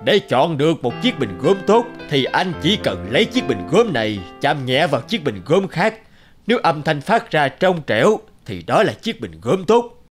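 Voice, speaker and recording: An actor playing a pompous, boastful know-it-all husband in a cartoon folk tale. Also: male voice, a little high, big pitch swings, normal speed, breathy edge, soft background music. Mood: smug. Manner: boastful, self-important.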